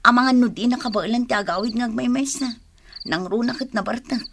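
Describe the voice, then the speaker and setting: A woman's voice: a little high, brisk, fairly bright tone, slight background noise. A woman with an Ilocano Filipino accent playing an anxious, earnest young woman in love in a radio drama. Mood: worried.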